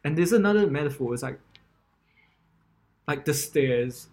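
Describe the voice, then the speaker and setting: A man's voice: on the high side, animated, unhurried, a little mellow. A young man from Taiwan co-hosting a podcast. He rambles in casual chat with frequent fillers and restarts. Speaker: podcast co-host.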